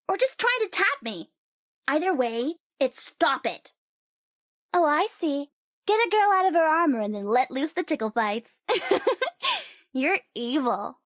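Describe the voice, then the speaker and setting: High-pitched, sassy young sorceress. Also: female voice, a bit bright, no room sound, studio quality, exaggerated voice. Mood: teasing, playful, then ticklish, laughing. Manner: sassy, playful, teasing, theatrical. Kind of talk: video game character voice lines.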